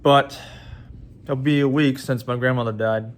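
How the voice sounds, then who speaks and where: A man in his twenties talking casually to his viewers in a halting way, with many restarts and repeated words. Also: mid-range voice, steady tone. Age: 20s. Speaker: vlogger. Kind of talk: casual vlog talk to viewers.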